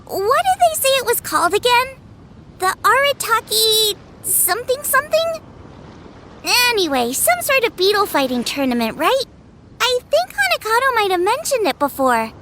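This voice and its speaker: Perky, very high girl chef. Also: sing-song, normal speed, noisy background. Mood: chipper. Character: perky, busy, practical teenage girl chef. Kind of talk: animated video game voice acting.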